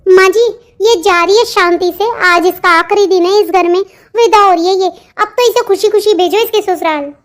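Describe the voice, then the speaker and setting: A woman acting a high, coaxing cartoon sister-in-law. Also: normal speed, hardly any pauses. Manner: coaxing, placating. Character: scheming, soothing young sister-in-law, loyal confidante. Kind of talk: melodramatic Hindi cartoon dialogue.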